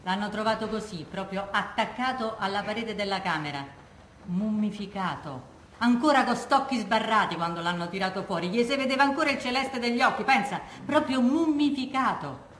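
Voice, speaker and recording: A woman's voice: mid-range voice, normal speed, a bit bright, lightly acted. Female, Roman accent actress: raw, haunted widow of the people, colloquial stage monologue, roomy and noisy recording. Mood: haunted, pitying. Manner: colloquial, emphatic, rhetorical questions. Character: working-class Roman widow, raw, haunted by wartime massacre. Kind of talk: theatrical testimony monologue. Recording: echoey room with background noise.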